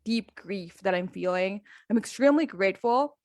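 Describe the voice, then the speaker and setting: A woman's voice: mid-range voice, lively intonation, normal speed, a little mellow, dry recording. An earnest, middle-aged woman from the Philippines speaks as a guest coach over a video call. She tells her life story openly, restarting phrases as she goes. Her voice is close and dry, with no room sound. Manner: earnest, open, with frequent fillers and restarts. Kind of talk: conversational interview storytelling.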